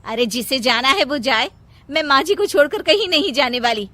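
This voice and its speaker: A woman's voice: high voice, lively intonation, moderate pace, pushed and bright, no echo. A woman voicing a dutiful, earnest young daughter-in-law in a cartoon moral story. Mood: defiant. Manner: earnest, respectful pleading.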